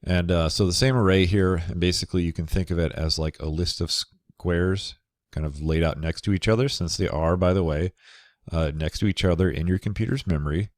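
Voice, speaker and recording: Low-voiced man lecturing with a cold. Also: a little fast, dry recording, clean studio sound. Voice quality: slightly crackly. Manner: relaxed, conversational, many fillers. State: nasal from a cold. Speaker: programming instructor. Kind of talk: recorded lecture over slides.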